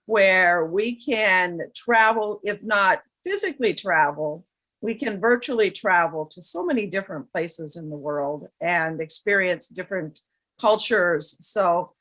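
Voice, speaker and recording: A woman's voice: lowish voice, expressive, a little slow, a bit bright, low-quality recording. A woman hosting a children's storytime, interviewing conversationally in an effusive, encouraging way.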